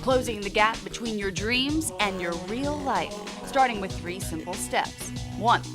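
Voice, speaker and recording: A woman reporter narrating a feature segment in a bright, upbeat voice. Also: mid-range voice, measured pace, music in the background.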